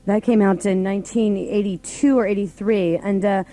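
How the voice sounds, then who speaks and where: A woman's voice: medium pitch, steady tone, moderate pace. An American woman hosting a radio show, chatting casually on air. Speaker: radio morning show host. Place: radio studio.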